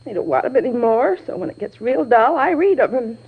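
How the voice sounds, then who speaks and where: A shaken, talkative cleaning woman in a vintage radio play. Her voice is fairly high and crackles with fry. She rambles on in folksy, confiding fashion through a slightly dull old recording. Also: big pitch swings, a little fast, exaggerated voice. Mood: confiding, nervous. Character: chatty, shaken night cleaning woman, gossipy and nervous. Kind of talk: old-time radio drama acting.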